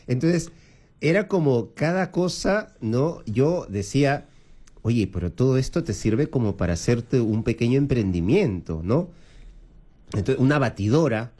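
A man, hosting a radio fundraising show in a chatty, animated way and laughing often. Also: medium pitch, measured pace, slightly rough. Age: 40s. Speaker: radio host. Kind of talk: casual radio chat, fundraising appeal.

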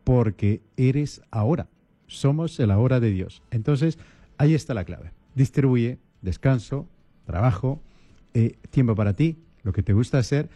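A man with a very dark, mellow voice, recorded dry and close with no room sound. He speaks as a priest giving life advice on a talk show, calm and teacherly, walking through plans in repeated step-by-step lists. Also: medium pitch, measured pace, no echo.

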